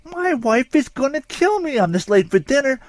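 A man's voice: high-pitched, wildly swooping pitch, fairly quick, dry recording, voice pushed up, exaggerated voice. A man voicing a nervous, flustered middle-aged male burglar in an audiobook, jumpy and whining as he protests his innocence. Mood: aggrieved. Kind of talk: audiobook character voice.

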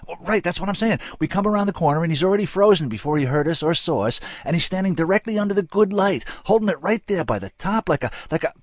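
Guy doing a flustered young college kid, pitched way up, babbling all over the place in an audiobook. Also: lively intonation, fast, hardly any pauses, dry recording, clean studio sound. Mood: excited babbling. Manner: rambling, breathless emphasis, gropes for words. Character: excitable, muddled young male student, flustered and babbling.

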